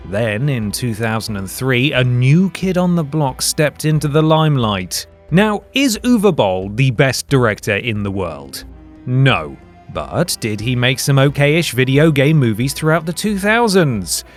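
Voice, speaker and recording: Animated man narrating a video essay. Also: mid-range voice, unhurried. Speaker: video presenter. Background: background music.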